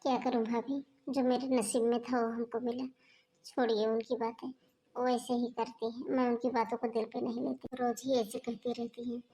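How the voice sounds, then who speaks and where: A woman voicing a patient, soft-spoken young daughter-in-law in a homemade family skit, talking gently. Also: fairly high pitch, flat delivery, moderate pace, close to an everyday voice, poor audio. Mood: resigned.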